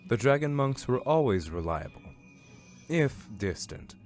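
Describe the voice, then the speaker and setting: A man playing a calm, formal advisor at a royal court in a fantasy fandub, speaking in a measured, instructive way. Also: medium pitch, faint music behind. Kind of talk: fandub fantasy drama acting.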